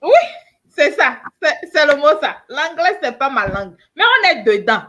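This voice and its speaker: High lively woman host agreeing. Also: moderate pace, slight background noise. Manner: eager agreeing interjections. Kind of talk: casual video interview.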